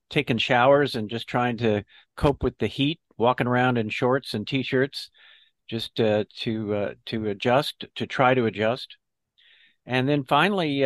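An older man, an army veteran and interview guest, telling his story in a measured, reflective way. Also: medium pitch, dry recording. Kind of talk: interview recollection.

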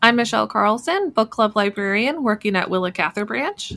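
A woman with a medium-pitched voice hosts a relaxed group podcast. She speaks in an informal, conversational way as she guides the discussion among colleagues. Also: brisk, gravelly. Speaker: librarian and podcast host. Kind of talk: casual chat, leading the discussion.

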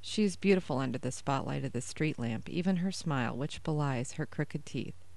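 A woman reading her own first-person short story aloud in a measured, even way. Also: a bass voice, vocal fry.

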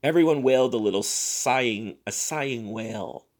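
Male narrator, medium pitch, dramatic literary reading, deliberate and expressive storytelling. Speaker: story reader.

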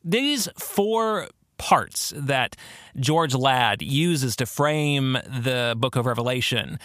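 A man hosting a podcast, explaining theology in a conversational, earnest way with expressive emphasis. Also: medium pitch, slow, no room sound, clean studio sound. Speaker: podcast host.

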